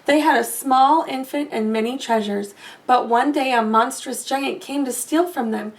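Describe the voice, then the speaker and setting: A woman's voice: high voice, moderate pace, recorded from a distance, rough recording. A woman voicing a kindly, grave old woman in a storybook, speaking earnestly.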